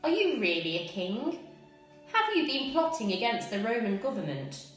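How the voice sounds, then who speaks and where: A woman's voice: a little high, sing-song, moderate pace, soft background music. A woman voicing a perplexed male Roman governor who questions a prisoner and makes announcements to a crowd in a storybook reading. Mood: questioning. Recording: very echoey room.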